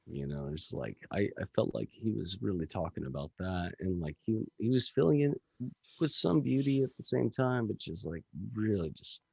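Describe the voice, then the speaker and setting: A man with a gravelly voice, a musician chatting casually as a podcast guest, rambling with lots of fillers and swearing. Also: lowish voice, darkish tone, no echo.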